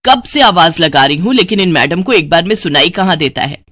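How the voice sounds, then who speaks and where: Lady playing a grumbly cartoon mom, lower and nagging, lively delivery, dry sound. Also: a little fast, no room sound. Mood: grumbling. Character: grumbling middle-aged mother, strict housewife.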